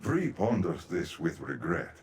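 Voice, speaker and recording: A man's voice: deep voice, sing-song, measured pace, echoey room. A man voicing an odd, pensive otherworldly creature in a fantasy game, with stilted, pondering speech. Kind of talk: scripted fantasy game acting.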